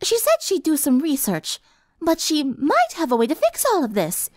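A woman's voice: high-pitched, wildly swooping pitch, moderate pace, a little mellow, no echo, clean studio sound, pitched above the performer's own voice. A woman voicing a refined, dramatic young lady, composed and a little weary, in a story. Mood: hopeful.

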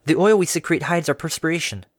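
A man's voice: a little high, fast talker, no room sound, clean studio sound, voice pushed up, lightly acted. A man voicing a secretive time-traveling pegasus mare who speaks politely and evasively. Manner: polite, evasive, nervous chuckles.

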